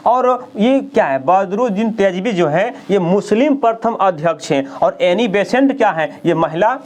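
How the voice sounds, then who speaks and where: Bihari guy teacher, kinda high voice, going thru exam questions, repeats answers and keeps quizzing. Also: animated, unhurried. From India. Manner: didactic, repeats answers and asks rhetorical check questions. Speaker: history teacher. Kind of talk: exam question-bank lesson, reading questions and answers aloud.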